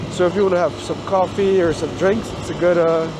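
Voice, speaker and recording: A man vlogs his road trip out loud, thinking as he goes with plenty of ums and restarts. His voice sits a little high and is fraying as he comes down with something. Engine and road noise fill the background. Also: steady tone, fairly quick. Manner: rambling, filler-heavy, thinking aloud. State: getting sick, losing his voice. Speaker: travel vlogger. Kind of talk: casual travel vlog. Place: outdoors on a motorbike road trip.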